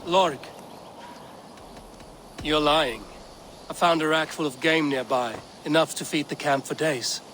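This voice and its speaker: An actor playing a stern Viking warrior questioning suspects in a video game, terse and firm. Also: male voice, fairly high pitch, unhurried, long pauses, a bit bright, a little hiss or hum. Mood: accusing. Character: stern, probing Viking warrior investigating a betrayal. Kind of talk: video game dramatic acting.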